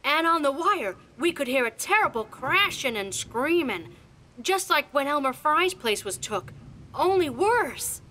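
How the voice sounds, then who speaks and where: A woman's voice: high voice, sing-song, unhurried, sharp tone, heavily acted. A woman voicing a terrified rural villager, stammering and breathless with panic in a horror audio drama. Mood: shaken.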